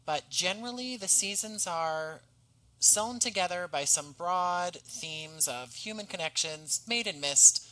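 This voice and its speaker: A man speaking as an interview guest, articulate, measured and courteous in conversation. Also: sits high, bright, forceful tone, rough recording. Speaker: podcast guest, playwright and writer.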